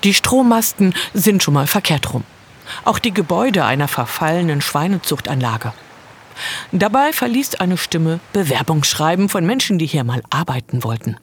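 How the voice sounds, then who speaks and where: A woman reading a scripted culture report voice-over with an expressive delivery. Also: low voice, moderate pace, slightly rough.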